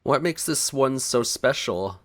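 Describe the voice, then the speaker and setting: Male let's-play commentator, casual, medium pitch, lively intonation. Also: unhurried, dry recording.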